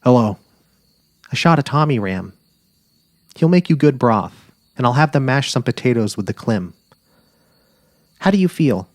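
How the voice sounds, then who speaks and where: A man's voice: medium pitch, big pitch swings, measured pace, pauses often, no echo, voice pushed up. A man voicing an affectionate middle-aged wife on safari, eager and tender, in a dramatic literary reading. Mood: bright, chatty. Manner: cheerful, eager, tender, imploring.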